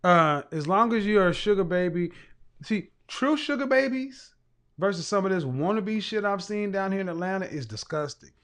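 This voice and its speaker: A man's voice: sits high, expressive, moderate pace, a bit bright. A man hosting a casual live-stream chat, blunt and rambling, talking. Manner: blunt, rambling, with frequent restarts and profanity. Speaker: livestream host and image consultant.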